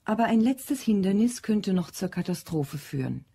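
A woman's voice: medium pitch, animated, normal speed, mellow, breathy edge. A woman narrating a scripted science-fiction story in a dramatic style.

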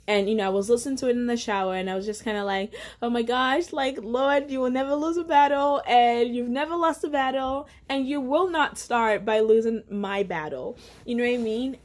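A young woman, sharing a casual devotional encouragement to camera at home, earnest and chatty with many fillers. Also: fairly high pitch. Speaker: faith vlogger. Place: indoor living room at home.

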